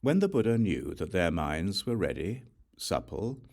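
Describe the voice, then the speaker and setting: A man reading scripture as a scripted audiobook narration, with measured, deliberate storytelling delivery. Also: low-pitched, sing-song, a little mellow.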